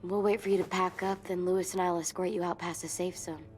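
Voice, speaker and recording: Casting: woman as tough teen girl, lowish voice, blunt and sardonic, game drama with faint music. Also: little pitch movement, brisk, close to an everyday voice. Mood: subdued, regretful. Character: blunt, tough, fair-minded teenage girl. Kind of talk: naturalistic video game acting.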